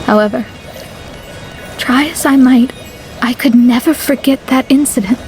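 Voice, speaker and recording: A proud young astrologer from a video game. Her voice is high and she speaks formally and earnestly, with lofty self-assurance. Music plays clearly behind her. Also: measured pace, darkish tone, poor audio. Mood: solemn.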